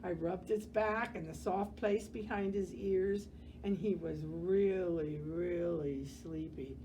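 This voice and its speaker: Slow-paced woman reading a picture book aloud. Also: on the low side, slight background noise, low-quality recording. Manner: gentle, expressive, pauses to comment on pictures. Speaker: library storyteller. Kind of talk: read-aloud children's picture book narration.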